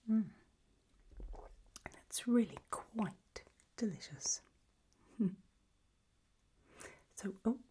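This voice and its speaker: A woman's voice: a little high, lively intonation, very slow, long pauses, a little hiss or hum. A woman playing an elegant, macabre gothic matriarch in an ASMR roleplay, whispering breathily in a languid, gracious manner. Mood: gracious, intrigued. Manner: languid, gracious, soft-spoken.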